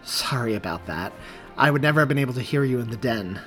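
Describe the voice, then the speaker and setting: A man's voice: mid-range voice, lively intonation, fast, fairly bright tone, faint music behind, lightly acted. A man reading aloud as a wife and mother who grows irate and hurt, her voice near breaking. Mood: casual. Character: upset woman, wife and mother.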